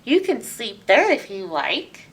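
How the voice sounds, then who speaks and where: A woman voicing a sad, gentle, broken-hearted old male robot in a children's storybook. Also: on the high side, huge pitch swings, slow, husky, exaggerated voice. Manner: gentle, downcast. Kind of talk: children's storybook read-aloud. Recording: distant mic with background noise.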